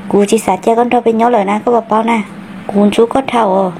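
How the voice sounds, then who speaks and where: A woman voicing a female character in an amateur animated cartoon drama. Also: on the high side, quick pace, slight background noise, close to an everyday voice. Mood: conversational. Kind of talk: hobby animated cartoon dialogue.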